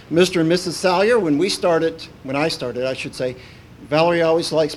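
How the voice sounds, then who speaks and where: A man raised in Kentucky speaks before a congregation, his voice sitting a little above the usual male pitch. He talks in an informal, halting way, pausing on "uh" and restarting his phrases. A faint hiss lies under the recording. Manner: halting, many "uh" fillers and restarts. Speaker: visiting minister. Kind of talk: informal sermon opening.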